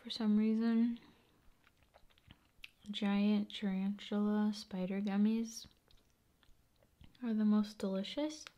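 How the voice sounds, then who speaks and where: A woman chatting casually in an ASMR style, soft-spoken and often dropping into whispers, with long unhurried pauses. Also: medium pitch, flat delivery. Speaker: ASMR video host. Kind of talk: ASMR, casual rambling chat.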